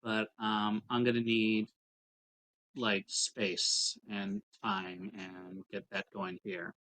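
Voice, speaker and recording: A man talking casually as a player in a tabletop game, tentative and measured. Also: lowish voice, completely flat delivery, pushed and bright, a little hiss or hum, low-quality recording.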